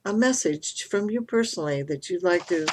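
A woman's voice: lowish voice, lively intonation, normal speed, a little mellow, husky. A woman hosting an interfaith podcast interview, speaking in an appreciative, affirming manner. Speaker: podcast host.

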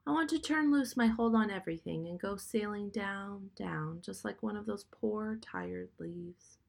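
A woman voicing a sick, weary young woman who speaks quietly, almost in a whisper. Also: mid-range voice, expressive, unhurried, creaky. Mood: resigned. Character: weak, ill young woman.

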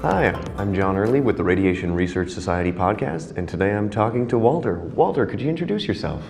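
A man's voice: fairly low pitch, lively intonation, a little fast, vocal fry, big-room sound, music in the background. A man hosting a podcast interview, asking encouraging questions in an upbeat way. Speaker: podcast host.

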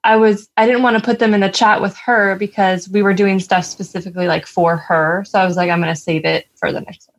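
A woman with a husky, medium-pitched voice co-hosts a podcast. She chats casually in an easygoing, giggly way. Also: steady tone, a little fast. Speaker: podcast co-host. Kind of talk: casual chat.

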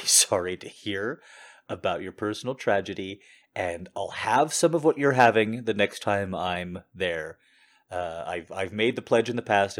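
Low-voiced male host, earnest and chatty. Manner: laughing, earnest, apologetic asides. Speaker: host reading and answering listener messages. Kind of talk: casual chat with listeners.

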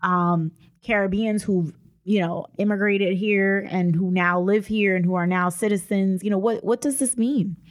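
A woman's voice: medium pitch, fairly even intonation, moderate pace, no echo. Conversational woman host, dry sound. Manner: conversational, uses many fillers. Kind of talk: moderating a panel discussion.

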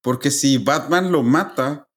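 Mexican guy co-hosting a podcast, medium pitch, jokey slangy chat. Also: measured pace, a bit bright. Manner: jokey, slips into English slang. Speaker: podcast co-host. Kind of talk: casual chat.

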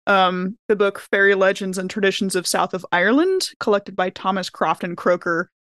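A woman with a medium-pitched voice talks in a casual round-table style. She sounds enthusiastic, and the recording is dry with very little room. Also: moderate pace, fairly bright tone, no echo. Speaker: podcast cast member.

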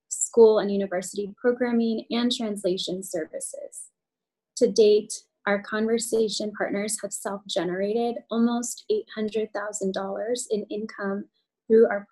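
A woman with a mellow, warm voice opens an event and introduces the guest speaker. She reads out a prepared introduction at an even, measured pace. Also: mid-range voice, low-quality recording. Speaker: event host. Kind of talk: scripted introduction.

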